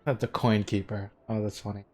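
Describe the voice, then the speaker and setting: A woman's voice: rumbling low, flat delivery, brisk, a crowd in the background. A woman giving casual, amused reaction commentary as a gaming video creator. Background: game music.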